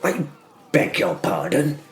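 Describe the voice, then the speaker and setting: Man playing a stammering young knight, pitched up. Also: very animated, slow. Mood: sheepish, dry. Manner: stammering, dryly polite. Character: young male medieval knight, wry, understated, shaken.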